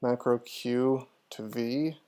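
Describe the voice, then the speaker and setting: A man's voice: medium pitch, fairly even intonation, takes it slowly, warm tone, smooth. Man doing casual game-stream commentary. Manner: thinks aloud, chatty. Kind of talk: casual live gameplay commentary, talking through moves and answering chat.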